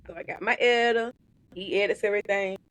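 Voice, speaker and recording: A woman in her thirties hosts a casual podcast interview, speaking in an upbeat, animated way. Her voice sits at a middling pitch and is recorded dry and close, with almost no room sound. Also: fast, clear, resonant tone, dry recording. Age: 30s. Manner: upbeat, animated, calls the guest "baby". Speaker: podcast host.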